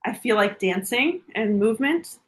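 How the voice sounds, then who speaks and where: A woman's voice: fairly high pitch, animated, normal speed, fairly bright tone. Giggly woman in her forties chatting casually. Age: 40s. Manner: giggly, laughs often while talking. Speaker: podcast guest, dancer and influencer. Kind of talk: casual chat.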